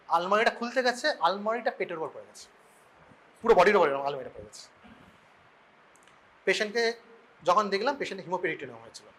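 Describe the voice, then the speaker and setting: A man's voice: high-pitched, fairly bright tone, rough recording. A man with a Bengali Indian accent giving an informal medical lecture in mixed language, asking and answering his own questions and often checking that students follow. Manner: explains through questions and answers, repeatedly checking understanding. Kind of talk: informal classroom lecture mixing Bengali and English.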